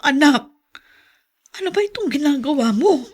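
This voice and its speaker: A worried self-sacrificing mom, high voice, pleading, emotional radio drama style. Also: female voice, lively intonation, normal speed, darkish tone. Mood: distressed. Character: anxious, self-sacrificing mother losing her eyesight. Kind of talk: Filipino radio drama acting.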